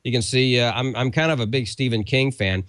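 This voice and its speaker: A man's voice: mid-range voice, a little fast, a bit bright, no echo. A man co-hosting a show, giving a casual, conversational sponsor read that rambles a little. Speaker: show co-host.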